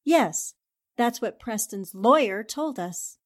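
A woman's voice: fairly high pitch, animated, slow pace. An actress playing a determined, poised and polite newspaperwoman in a 1940s radio drama. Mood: polite, concerned.

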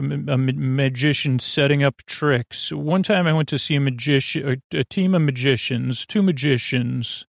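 A man with a creaky voice hosting a podcast in a rambling, halting, casual monologue that keeps trailing off and circling back. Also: medium pitch, dry recording, studio quality. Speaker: podcast host.

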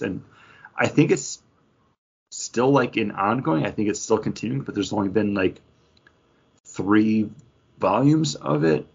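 A man's voice: on the low side, creaky, slightly rough. A man chatting casually as a podcast co-host, rambling through his opinions and acting out imagined quotes. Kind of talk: casual chat, book review. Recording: dull, lo-fi podcast audio.

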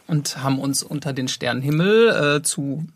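A man's voice: mid-range voice, moderate pace, no echo. A man speaking conversationally in an interview as an audio drama producer.

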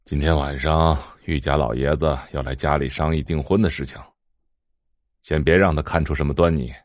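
An actor playing a stern, domineering middle-aged patriarch of a wealthy family in an audio drama, curt, commanding and pompous. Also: male voice, extremely low pitch, fairly even intonation, moderate pace, no room sound, clean studio sound. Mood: firm, guarded. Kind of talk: Chinese audio drama acting.

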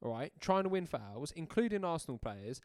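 A man's voice: fairly high pitch, sing-song, brisk, darkish tone, no room sound, studio quality. A young man co-hosting a football podcast, blunt and chatty, forever calling his co-host mate. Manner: blunt, calls everyone mate. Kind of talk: casual football chat.

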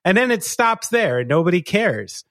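A man's voice: sits high, big pitch swings, moderate pace, fairly bright tone, dry recording, studio quality. Profane, rambling New guy. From New Jersey. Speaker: podcast co-host. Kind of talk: casual chat.

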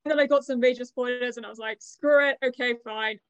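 Creaky-voiced young woman, casual chat. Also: a little high, fairly even intonation, fast talker, fairly bright tone, no room sound. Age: young adult. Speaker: podcast co-host. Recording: slightly dull, lo-fi microphone sound.

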